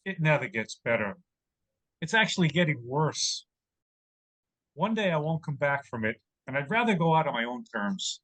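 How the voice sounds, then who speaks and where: Man voicing an aging, weary mouse, lively higher pitch. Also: moderate pace, poor audio. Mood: resigned, tender. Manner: calm, fatherly, earnest. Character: aging, weary, gentle male mouse, wise mentor. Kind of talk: audio drama acting.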